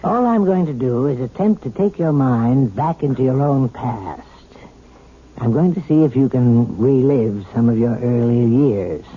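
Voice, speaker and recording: An actor playing a calm, patient doctor and hypnotist who speaks in a soothing, slow, coaxing way, in a 1970s radio drama. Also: male voice, mid-range voice, husky. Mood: explaining. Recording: tinny old radio broadcast.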